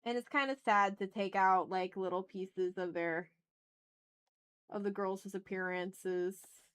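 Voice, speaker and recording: A woman with a mid-pitched voice hosts a casual livestream. She rambles informally, half talking to herself and half to viewers, with the odd mild swear. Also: steady tone, slow pace, a bit bright, clean tone. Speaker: art livestream host. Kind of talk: casual rambling chat while drawing.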